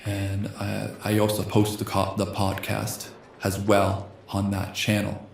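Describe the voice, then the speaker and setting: A slightly hoarse man hosting a gaming channel, reading a text adventure game aloud in a soft ASMR style and speaking the punctuation marks. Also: on the low side, monotone, measured pace, echoey room, slight background noise. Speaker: host.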